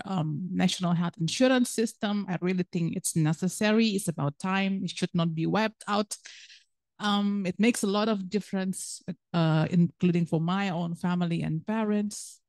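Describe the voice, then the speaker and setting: A woman's voice: lowish voice, a little mellow, no echo. A woman from Indonesia speaking as an author on a panel, earnest and thinking aloud, with many fillers. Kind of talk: panel discussion answer.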